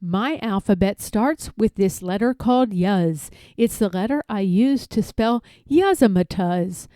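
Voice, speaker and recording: A woman's voice: mid-range voice, a little slow, dark tone, no echo, studio quality. A woman reading a rhyming picture book aloud expressively, drawing out key words for emphasis. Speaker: read-aloud storyteller.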